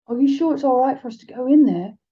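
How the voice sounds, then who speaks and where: Nervous young boy, fretful, anxious, halting speech, raised pitch, fantasy storybook, a woman acting. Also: lively intonation, husky, phone-quality sound. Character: nervous, fretful young schoolboy, easily winded.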